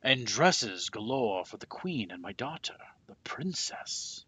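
A man's voice: mid-range voice, very animated, slow pace, pushed and bright, breathy edge, no room sound, full character voice. A man voicing a vain, short-tempered storybook king in an imperious, declamatory manner. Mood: commanding. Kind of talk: children's storybook read-aloud.

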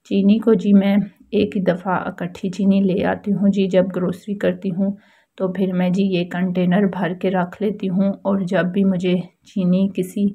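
A woman's voice: medium pitch, warm tone, breathy edge, dull audio. A woman with a Pakistani accent giving a casual how-to voice-over as a home vlogger, explaining things politely and repetitively.

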